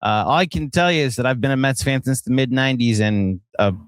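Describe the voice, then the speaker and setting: Clear-voiced man hosting sports talk. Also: mid-range voice, fast, no room sound. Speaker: sports podcast host.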